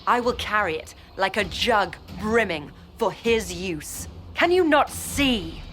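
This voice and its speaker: An actress playing a proud, idealistic mage lieutenant in a video game cutscene, speaking formally and grandly. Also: female voice, medium pitch, animated, slow pace, fairly bright tone, a little hiss or hum. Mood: proud defiance.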